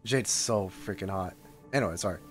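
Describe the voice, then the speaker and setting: A man casually rambling on a livestream as he reacts to a game, with soft music behind him. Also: lowish voice, moderate pace. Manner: rambling, animated, reads chat aloud.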